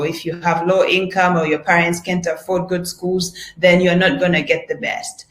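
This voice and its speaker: Woman on a video call, earnest, lots of room echo. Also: fairly low pitch. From Tanzania. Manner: earnest, heartfelt. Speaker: panelist. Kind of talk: personal panel talk over a video call.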